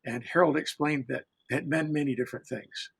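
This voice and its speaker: An older man speaking as a storyteller on a panel, reflective and deliberate, pausing between phrases. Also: on the high side, steady tone, creaky, breathy edge, low-quality recording.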